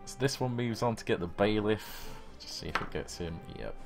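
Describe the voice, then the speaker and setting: A man's voice: on the low side, normal speed, vocal fry. A man narrating a tabletop horror game as game master, in descriptive storytelling. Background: background music.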